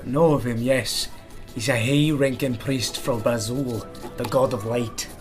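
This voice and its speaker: A man's voice: medium pitch, steady tone, measured pace, faint music behind, natural voice. A man playing a blunt, sardonic dwarf adventurer in a fantasy audio drama, given to needling remarks and archaic turns of phrase. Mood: earnest. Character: gruff male dwarf adventurer, sardonic and plainspoken.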